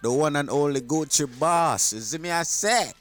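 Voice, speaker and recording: A man's voice: fairly high pitch, moderate pace, noisy background. A man hosting a casual interview, talking loosely in slang with plenty of fillers. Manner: loose slangy talk, laughs easily, hesitant fillers. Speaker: host.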